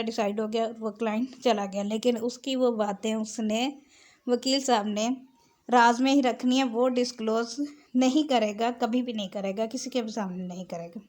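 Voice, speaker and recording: High-voiced Pakistani woman teaching law. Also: a little mellow. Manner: switches between Urdu and English. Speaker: law lecturer. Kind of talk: explanatory lesson, reading out and explaining legal text.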